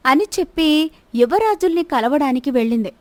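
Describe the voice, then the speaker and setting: High-pitched woman narrating a story. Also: animated, moderate pace, dry recording. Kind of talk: scripted story narration with acted dialogue.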